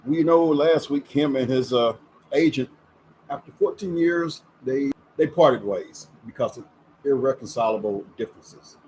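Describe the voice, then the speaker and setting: A man's voice: mid-range voice, a little slow, a little mellow, slight background noise, poor audio. A man in his fifties hosting a casual sports talk show, chatty and folksy, leaning on words for emphasis. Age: 50s. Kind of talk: casual sports commentary vlog. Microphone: camera setup with ring light.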